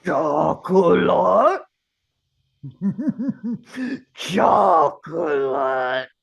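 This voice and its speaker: Slow, growly, childlike brute. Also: male voice, a little high, expressive, vocal fry, husky, full character voice. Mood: hungry delight. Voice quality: growly, like a talking grizzly bear. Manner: slow, drawn-out single words. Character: big childlike monstrous brute, hungry for sweets.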